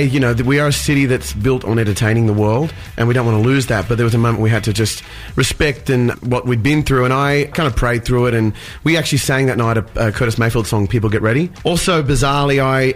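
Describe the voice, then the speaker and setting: An earnest middle-aged man with an Australian accent, talking on a radio interview. He speaks at an everyday pitch, stops and restarts his phrases, and has faint music playing behind him. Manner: earnest, halting with repeated words. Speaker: singer and guest.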